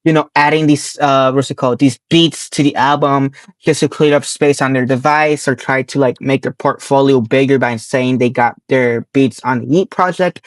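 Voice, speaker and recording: A man with a hoarse, worn voice reviewing albums from notes in a casual way, often correcting his own slips. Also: mid-range voice, steady tone, no room sound. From Nevada, US. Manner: reads quick notes, corrects himself, chuckles at slips.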